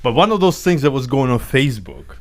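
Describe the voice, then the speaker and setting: A man co-hosting a podcast, rambling through stories in easygoing chat. His pitch swings widely as he talks, and he breaks into laughter often. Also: sing-song, fairly quick. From Ukraine. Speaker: podcast co-host. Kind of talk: casual chat.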